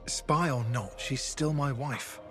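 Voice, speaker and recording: A double agent weighed down by remorse in a video game drama. He speaks gravely and carefully in a mid-pitched voice, with clear music underneath. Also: slow pace, fairly bright tone. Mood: remorseful, earnest. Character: brainwashed spy, remorseful husband, grave and haunted. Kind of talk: video game cutscene acting.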